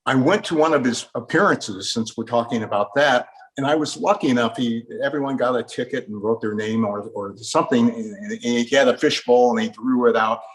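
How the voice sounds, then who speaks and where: A man's voice: medium pitch, a little fast, few pauses, rough recording. A man hosting a casual conversational interview, chatting with his guests and putting questions to them. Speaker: host.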